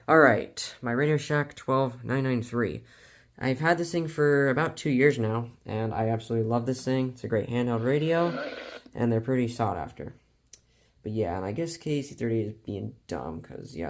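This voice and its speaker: A man casually showing a collection in an unscripted vlog, talking in an informal, slangy, rambling and somewhat hesitant way. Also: medium pitch. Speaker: hobbyist collector presenting a collection video.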